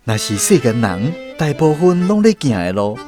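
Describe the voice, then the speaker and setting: A man's voice: medium pitch, sing-song, normal speed, a little mellow, soft background music, natural voice. An actor playing a weak, weary lost man searching for peace, earnest and pleading, in a Taiwanese radio drama. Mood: hopeful.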